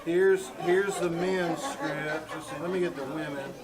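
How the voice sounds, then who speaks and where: A somewhat high-voiced man emcees a live theater show in an exasperated, stammering way, with a loud audience laughing behind him. He is recorded live on stage. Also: fairly even intonation, measured pace, over a noisy crowd.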